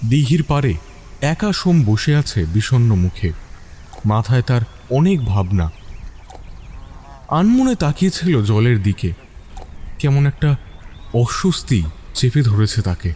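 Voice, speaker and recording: A man narrating a scripted suspense story with deliberate phrasing and dramatic pauses. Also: medium pitch, big pitch swings, warm tone.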